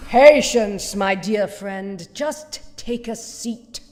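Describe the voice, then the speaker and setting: A woman playing a cold, condescending mad scientist villain in an audio drama, with a haughty, measured manner and false sweetness, in a reverberant space. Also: mid-range voice, darkish tone. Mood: controlled impatience. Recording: long reverberant hall echo.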